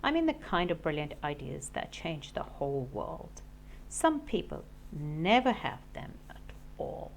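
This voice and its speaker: A woman teacher reading a children's storybook aloud in an expressive, deliberate way. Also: low voice, slight background noise. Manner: animated, deliberate storytelling for young listeners. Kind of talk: expressive children's audiobook narration.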